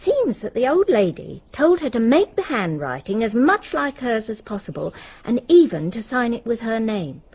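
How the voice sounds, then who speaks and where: A woman playing a well-informed, helpful local with an English accent and husky voice, explaining matter-of-factly in a radio drama. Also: fairly high pitch, very animated, moderate pace, hardly any pauses, exaggerated voice. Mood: explanatory. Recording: slightly dull radio drama audio.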